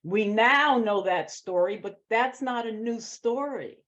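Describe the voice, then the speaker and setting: A woman's voice: a little high, measured pace, fairly bright tone. An American woman, a screenwriter, speaking emphatically and with passion in a question-and-answer session after a script reading. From Boston, United States. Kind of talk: post-reading Q&A talk. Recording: dull lo-fi sound.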